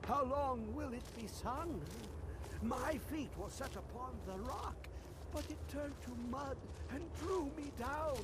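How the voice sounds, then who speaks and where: An actor playing a crazed, rambling old scholar in a video game, speaking in archaic, theatrical phrases. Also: male voice, extremely high pitch, a little slow, background noise. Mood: feverish awe. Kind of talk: video game character acting.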